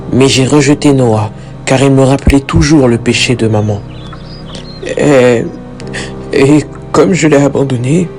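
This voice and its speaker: A man's voice: mid-range voice, darkish tone, close to an everyday voice. Man acting earnest devout father over music. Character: devout, protective, remorseful father of a grown daughter. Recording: background music under the voice.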